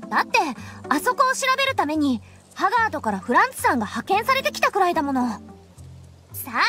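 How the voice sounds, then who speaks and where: A woman voicing a chatty, eager teenage girl in a Japanese anime game, lively and friendly. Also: very high voice, fast talker, soft background music, full character voice.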